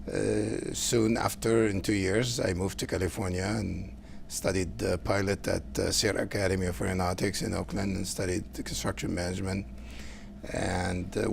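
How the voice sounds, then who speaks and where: A middle-aged man from Lebanon, speaking English, answering interview questions earnestly and haltingly, with many fillers. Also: sits low, creaky, a little hiss or hum. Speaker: guest, council candidate.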